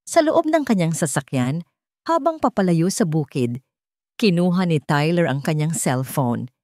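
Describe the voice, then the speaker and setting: A woman's voice: lowish voice, a little mellow, no room sound, studio quality. A woman narrator reading a scripted romantic drama story in a dramatic storytelling style.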